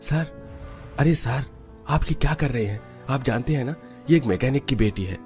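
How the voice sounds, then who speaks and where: A man's voice: medium pitch, normal speed, darkish tone, faint music behind, voice pushed up, lightly acted. A man voicing an obsequious, corrupt schoolteacher with a fawning, protesting manner in a cartoon story. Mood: urgent protest.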